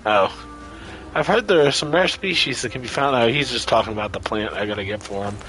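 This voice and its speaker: A male game streamer with a mid-pitched voice, chatting casually over gameplay. He is irreverent and sarcastic, with salty language. Faint game music sits behind him. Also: brisk, a bit bright, low-quality recording. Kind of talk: casual live gameplay commentary.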